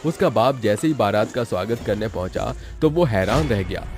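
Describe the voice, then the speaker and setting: A man's voice: on the low side, animated, normal speed. A man narrating a scripted horror story for a cartoon, as the storyteller. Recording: music bed under the voice.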